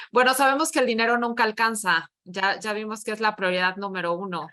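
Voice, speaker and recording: A woman moderating a webinar panel in a conversational, measured way. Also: mid-range voice, pushed and bright.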